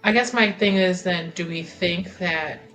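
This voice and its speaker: A woman's voice: fairly low pitch, a little slow, fairly bright tone, faint music behind. A woman chatting casually as a player at a tabletop roleplaying game, hesitant and rambling as she thinks aloud.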